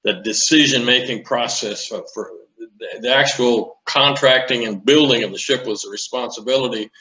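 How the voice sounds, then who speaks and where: Elderly man, bright voice, echoey sound. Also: mid-range voice, normal speed. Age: 80s. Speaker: interview guest, retired naval commanding officer. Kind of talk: conversational reminiscence.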